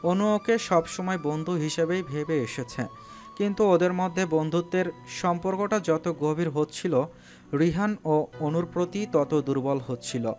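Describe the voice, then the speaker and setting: A man narrates a scripted romantic audio story. His voice sits a little high for a man, and faint music plays behind his storytelling. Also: a little slow, darkish tone.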